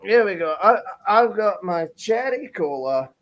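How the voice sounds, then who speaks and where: A man's voice: high-pitched, sing-song, a little slow, muffled recording, a loud crowd. A man co-hosting a live stream, chatting playfully with mock-sulky banter. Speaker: stream co-host. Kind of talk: casual live-stream chat.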